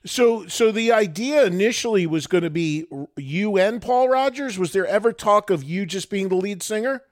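A male podcast host asks eager, probing questions. His voice sits high for a man. The sound is dry and close, with no room around it. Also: moderate pace, no room sound. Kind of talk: interview questions.

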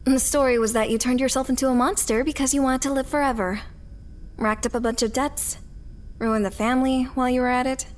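A woman's voice: high voice, steady tone, brisk, slight background noise, lightly acted. An actress playing a bitter, guarded working mother in a sci-fi audio drama, blunt, sardonic and suspicious. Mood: accusing. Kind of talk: audio fiction acting.

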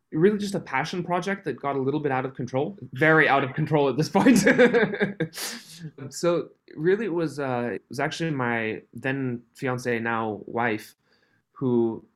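A man in his thirties, raised in Switzerland, with a mixed, faintly Canadian accent, chatting as a podcast guest, friendly and quick to laugh. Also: medium pitch. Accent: mixed, Canadian-tinged. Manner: friendly, laughs often, restarts phrases. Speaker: podcast guest, company founder. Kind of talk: casual interview chat.

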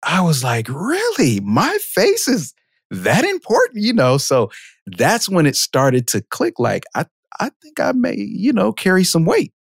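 A man guesting on a podcast, casually telling stories about his career, laughing often and jumping up in pitch for quoted reactions. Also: a little slow, creaky, husky, no echo, clean studio sound. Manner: lively storyteller, jumps high for quoted reactions, laughs often. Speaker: podcast guest, marketing automation expert. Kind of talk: casual interview storytelling.

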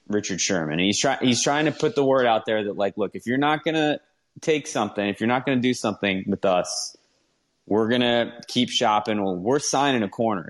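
Low-voiced man in casual sports talk. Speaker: sports podcast co-host.

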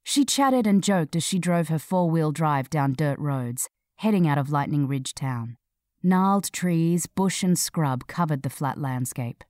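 Woman, scripted article narration, lower-pitched, soft mellow tone, measured delivery, dry close sound. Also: no echo. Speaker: narrator reading a feature article.

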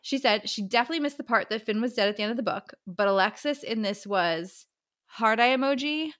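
A woman's voice: on the high side, a little fast, a bit bright. A woman hosting a book podcast, chatting casually in a slangy, exclamatory way. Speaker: podcast host.

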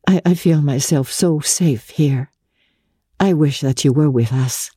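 A refined countess, as a woman narrator plays her, confides earnestly in a novel reading. Her voice is mellow and husky, with an anxious edge. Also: sits low, a little slow, no room sound, clean studio sound. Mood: earnest, confiding. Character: refined, anxious society countess writing a letter.

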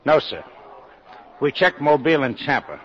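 An actor playing a deferential, matter-of-fact police lieutenant in a 1950s radio drama. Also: male voice, on the high side, big pitch swings, normal speed, a bit bright. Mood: dutiful. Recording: dull vintage 1950s radio recording.

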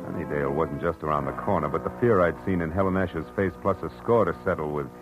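An actor playing a wry, tough private detective in a 1940s radio drama, terse and clipped. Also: male voice, low-pitched, fast, lightly acted. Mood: wry narration. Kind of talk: 1940s radio acting, first-person noir narration. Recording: dull old radio recording, music behind.

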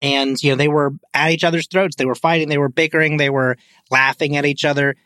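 A man's voice: on the high side, steady tone, fast talker, pushed and bright, clean tone, dry recording, clean studio sound. A man in a casual film discussion on a podcast, talking informally and peppering his sentences with "like". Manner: informal, hedging with frequent "like". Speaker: podcast co-host.

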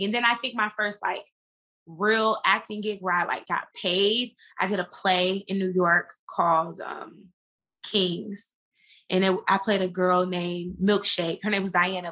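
A woman with a bright, forceful voice speaks as a guest in a casual podcast chat. She is an actress who tells things in a slangy, emphatic way, with plenty of animation. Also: medium pitch, fairly even intonation, moderate pace. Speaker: guest, stage and screen actress.

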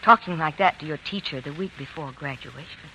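An actress playing a prim, genteel schoolteacher, proper and instructive, in a 1940s radio drama. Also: female voice, deep voice, brisk, bright, forceful tone, poor audio. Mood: disapproving, shocked. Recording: vintage 1940s radio, faint noise.

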